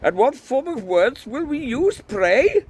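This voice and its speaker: Man acting a haughty Welsh priest, storybook figure, high swooping pitch, argumentative, noisy recording. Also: sing-song, takes it slowly, heavily acted. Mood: snappish. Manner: snappish, argumentative. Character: irritable objecting old priest, sanctimonious and haughty.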